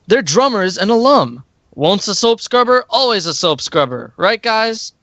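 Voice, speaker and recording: Man playing a high-voiced, breezy student music promoter. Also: big pitch swings, normal speed, fairly bright tone, no room sound, dull audio, full character voice. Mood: breezy, assured. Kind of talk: amateur radio play, plugging events.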